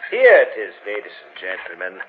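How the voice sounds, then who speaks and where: Ominous art dealer, dramatically swooping voice. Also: male voice, fairly low pitch, wildly swooping pitch, moderate pace. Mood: ominous, solemn. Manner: theatrical, formal, portentous. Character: reluctant, ominous male art dealer, fearful and solemn. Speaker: actor in a radio horror play. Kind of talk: old-time radio drama acting. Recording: muffled old radio recording with hiss.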